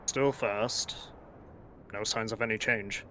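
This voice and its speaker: A man voicing a practical sailor and father who speaks in a measured, earnest way, in a fan-voiced game playthrough. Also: lowish voice, fairly even intonation, fairly bright tone, slight background noise. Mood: steady.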